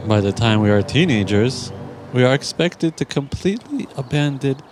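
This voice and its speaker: A man narrating a guided meditation in a gentle, soothing, deliberate manner with long pauses. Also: mid-range voice, lively intonation. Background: music.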